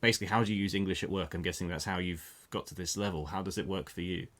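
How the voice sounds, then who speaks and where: A man's voice: deep voice, fairly even intonation, racing, fairly bright tone. A man hosting an interview, asking loose, rambling questions full of restarts. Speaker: podcast host, English coach. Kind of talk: casual interview conversation.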